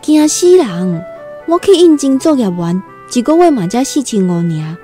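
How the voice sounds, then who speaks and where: A woman's voice: fairly high pitch, very animated, normal speed, warm tone, soft background music. An actress with a Taiwanese accent playing a timid, earnest young country girl new to the city in a radio drama. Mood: dismayed. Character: timid nineteen-year-old country girl new in Taipei. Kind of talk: Taiwanese radio drama, first-person storytelling and dialogue.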